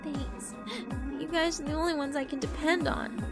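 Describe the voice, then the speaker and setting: A woman's voice: sits high, steady tone, moderate pace, over music, voice pushed up. A woman voicing a yokai posing as a sweet, frightened schoolgirl, pleading with false innocence in a horror visual novel. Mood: meek, grateful. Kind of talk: visual novel character narration.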